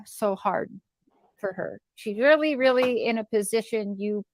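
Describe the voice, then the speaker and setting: A woman chatting casually in an earnest, protective and halting way, as on a livestream. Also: fairly high pitch, a little hiss or hum, poor audio.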